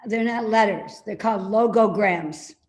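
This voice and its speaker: A woman's voice: mid-range voice, a little slow, darkish tone, lightly acted. An actress playing a fussy, suspicious older mother in a naturalistic play reading, with a pedantic, contrary way of talking. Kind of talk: naturalistic readers theater acting.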